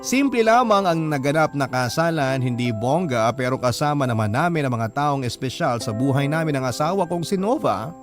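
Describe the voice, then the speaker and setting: A man's voice: medium pitch, animated, fairly quick, few pauses, over music, lightly acted. An actor narrating as an earnest young newlywed man in a radio drama letter, with a Filipino accent. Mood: sincere, reflective.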